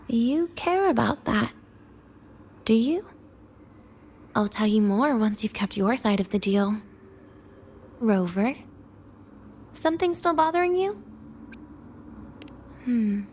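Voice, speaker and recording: An actress voicing a weary, teasing, guarded young woman in a video game, speaking with wry, breathy pauses. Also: a little high, a little mellow, slight background noise.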